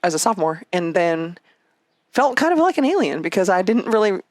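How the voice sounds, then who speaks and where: A woman's voice: mid-range voice, very animated, brisk. A woman in her fifties from Canada, telling her life story as an interview guest in a casual, chatty and reflective way. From Canada and Egypt. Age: 50s.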